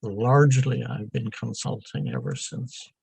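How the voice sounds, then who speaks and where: An older man from Dublin with an Irish accent, answering interview questions in a halting way with many ums and wry asides. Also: mid-range voice, gravelly, darkish tone, slightly rough, dry recording. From Ireland. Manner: halting, frequent ums, self-deprecating asides. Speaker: guest, software consultant.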